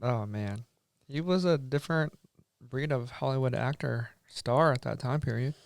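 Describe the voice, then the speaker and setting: A man's voice: mid-range voice, normal speed, creaky, no echo, studio quality. Upbeat man leading a podcast chat. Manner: upbeat, enthusiastic, steers the talk. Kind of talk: casual chat.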